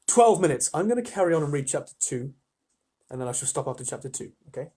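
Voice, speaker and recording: A man reading a children's story aloud as an expressive storyteller, with casual asides to listeners. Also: a little high, fast talker, husky.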